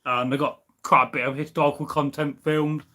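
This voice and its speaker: A man's voice: fairly high pitch, level tone, fairly quick, bright, forceful tone. A young man from the UK chatting casually on a live stream from home, rambling with many fillers and restarts. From United Kingdom. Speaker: history channel host. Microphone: camera on a tripod.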